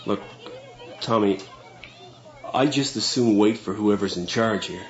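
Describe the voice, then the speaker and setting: A man acting in a radio drama as an anxious father, halting and nervous in his speech. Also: lowish voice, some chatter behind, natural voice. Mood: wary. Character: anxious, hesitant father, protective of his son.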